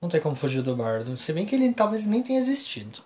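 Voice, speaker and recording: A man's voice: on the high side, big pitch swings, quick pace, dark tone, roomy sound. A man with a Brazilian Portuguese accent, co-hosting a casual podcast chat in a slangy, rambling way with frequent restarts. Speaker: podcast co-host.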